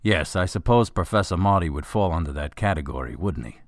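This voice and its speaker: Low-pitched male cop, played by an actor, gruff, practical, dryly sarcastic, close dry radio-drama recording. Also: lively intonation, fairly quick, no room sound. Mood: businesslike. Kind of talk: scripted radio drama dialogue.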